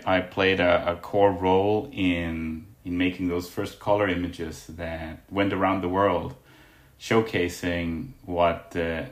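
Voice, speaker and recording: Casting: low-voiced scientist guest from Denmark, halting answers, roomy echo. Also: male voice. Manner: halting, restarts with fillers. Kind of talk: interview answers.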